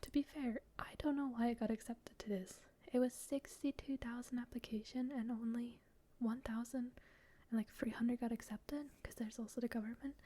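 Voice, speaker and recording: A woman whispering while she plays and describes an online game, shy and a little rambling, with frequent soft laughs. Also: a little high, fairly even intonation, moderate pace. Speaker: gamer.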